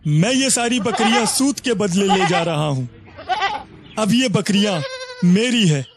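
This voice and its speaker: A man's voice: sits high, animated, measured pace, background noise. A man voicing a greedy, heartless, bullying village moneylender in a cartoon moral story, bossy and scornful. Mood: threatening.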